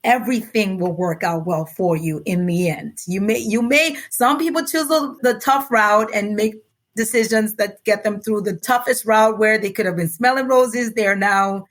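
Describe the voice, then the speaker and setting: A woman from Nigeria speaking American English with a faint Yoruba tinge, her voice sitting in a middle pitch. She talks in an earnest, reflective way, laughing easily and slowing down to stress her points. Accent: American English with a light Yoruba tinge. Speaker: guest author. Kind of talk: relaxed podcast interview.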